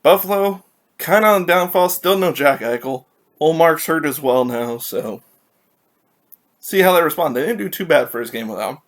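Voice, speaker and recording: A man from California hosting a casual solo hockey recap, rambling and correcting himself as he reads scores and stats, a little raspy from allergies. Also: on the high side, animated, normal speed.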